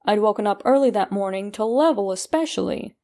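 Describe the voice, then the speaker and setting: A woman narrating a scripted first-person horror story in a measured, deliberate storytelling manner with pauses. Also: medium pitch, animated, dark tone. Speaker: creepypasta narrator.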